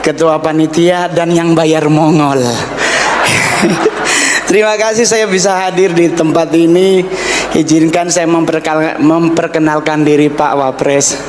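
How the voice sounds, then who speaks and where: A man's voice: fairly high pitch, steady tone, a little slow. A man from North Sulawesi doing a teasing, self-mocking stand-up set at a formal event, addressing the guest of honor again and again. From Indonesia, North Sulawesi. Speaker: stand-up comedian. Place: large indoor event hall. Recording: echoey hall PA with noise.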